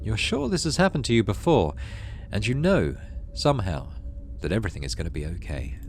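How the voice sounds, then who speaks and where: A man's voice: on the low side, sing-song, brisk, background noise. A man hosting a gaming video, reading story text aloud expressively and adding casual, musing commentary.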